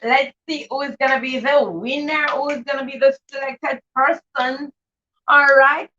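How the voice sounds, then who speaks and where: High, bright-voiced woman hosting enthusiastically. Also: fairly even intonation, normal speed, a little hiss or hum. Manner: enthusiastic, repeats phrases. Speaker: host of children's live read-aloud show. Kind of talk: livestream chat, greeting viewers. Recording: dull, distant livestream microphone.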